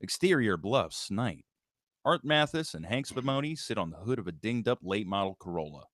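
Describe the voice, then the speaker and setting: A man's voice: fairly low pitch, animated, fairly quick, fairly bright tone, no echo, clean studio sound. A man hosting an informal live script read, talking casually and narrating stage directions. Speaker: host, writer and stage-direction reader of a live table read.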